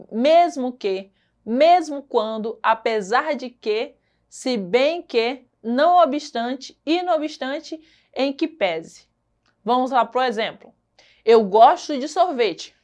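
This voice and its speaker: High-voiced Brazilian woman teaching. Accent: Brazilian Portuguese. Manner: explanatory, repeats key points and checks in with "ok?". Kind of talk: recorded classroom lesson.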